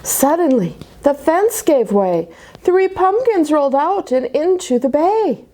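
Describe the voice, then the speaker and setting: A woman reading a rhyming children's picture book aloud at a church story time, expressive, dropping to dramatic whispers. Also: high voice, measured pace, a little hiss or hum.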